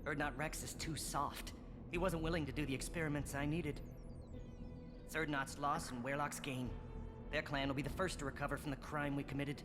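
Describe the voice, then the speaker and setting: A man's voice: a little high, level tone, brisk, close to an everyday voice. A man voicing a bitter, zealous alien scientist in a video game, articulate and accusatory, a disillusioned former student. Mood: resolute. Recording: game dialogue over background music.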